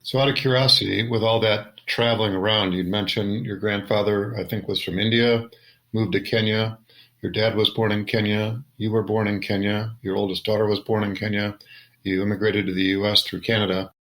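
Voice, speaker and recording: A man hosting a podcast interview, reading prepared introductions in a steady way. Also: on the low side, a little fast, low-quality recording. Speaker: podcast host.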